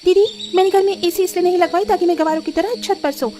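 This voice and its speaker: A woman's voice: extremely high pitch, steady tone, fast talker, warm tone, slight background noise. A woman voicing a haughty, spoiled young city daughter-in-law, snobbish and scornful, in a cartoon story. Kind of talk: acted cartoon story dialogue.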